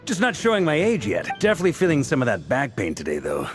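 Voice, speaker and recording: An actor playing a hearty, wry, seasoned knight commander in a video game cutscene, talking casually and with self-deprecation. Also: male voice, medium pitch, huge pitch swings, quick pace. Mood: easygoing. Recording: game music behind the voice.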